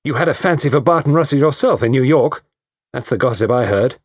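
A man voicing a defensive, sharp-tongued young Englishman, curt and angry. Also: mid-range voice, animated, brisk, husky, no echo, clean studio sound, pitched above the performer's own voice.

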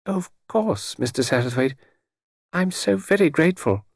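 A man acts out a titled lady from a storybook. The voice is small, cold and raised in pitch, formally polite and reserved, with a very dry sound. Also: expressive, normal speed, vocal fry, breathy edge, no room sound, heavily acted. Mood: grateful, quiet.

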